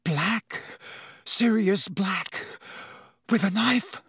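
A man's voice: high voice, lively intonation, takes it slowly, slightly rough, no echo, studio quality, pitched above the performer's own voice, full character voice. A man voicing a terrified, insistent teenage schoolboy in an urgent, exclaiming manner for a storybook. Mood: panicked.

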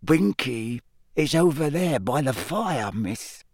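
A man voicing a small, devoted male house-elf who talks in a hushed whisper. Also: medium pitch, animated, takes it slowly, dry recording, studio quality, voice pushed up. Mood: hushed sad.

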